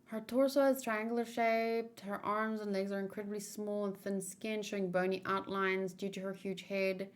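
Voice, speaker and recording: A woman hosting a casual livestream, talking in a rambling, halting, easily distracted way. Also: medium pitch, little pitch movement. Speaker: livestream host doing manga read-alongs.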